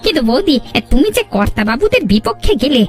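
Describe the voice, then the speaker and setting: A loyal young village helper in a cartoon, played by a woman, eager and expressive. The voice is high and swings widely in pitch, over faint background music. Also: fast talker. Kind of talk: Bengali cartoon voice acting.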